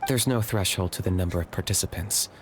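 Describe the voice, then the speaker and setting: A man's voice: low voice, fast talker, a little hiss or hum, lightly acted. A man voicing a cool, blunt, reserved young warrior in a video game. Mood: serious.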